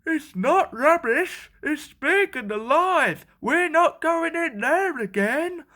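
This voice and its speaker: Scared storybook workmen acted by a woman, stubborn and alarmed. The protest is urgent, pitched high and swinging widely. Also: expressive, slow, sharp tone, exaggerated voice. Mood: insistent. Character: frightened tunnel workmen, alarmed and stubbornly refusing.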